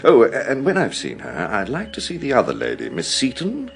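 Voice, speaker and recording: A man's voice: medium pitch, wildly swooping pitch, brisk, creaky, soft background music. An actor playing a courteous British family doctor in a radio drama, polite and clinical in his questioning. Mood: puzzled, thoughtful. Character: courteous, matter-of-fact male family doctor, quietly puzzled.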